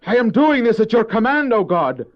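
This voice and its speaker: An actor playing Cain, a proud, resentful farmer and elder brother, in a theatrical, argumentative manner for a religious audio drama. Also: male voice, sits high, sing-song, normal speed. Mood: proud pleading. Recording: dull old cassette audio drama.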